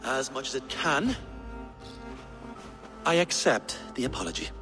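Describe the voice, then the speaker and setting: An actor playing a proud, aggrieved Saxon horse owner in a video game, speaking formally with wounded dignity. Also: male voice, mid-range voice, very animated, unhurried, bright, forceful tone. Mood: grudging. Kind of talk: video game cutscene acting. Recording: long hall reverb. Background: music.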